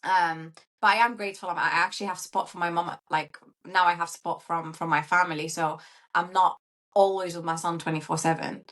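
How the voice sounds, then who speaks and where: A woman from Syria, a coach who is a guest on a podcast, talking in a chatty, animated way with plenty of fillers. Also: fairly low pitch, brisk. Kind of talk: casual interview chat.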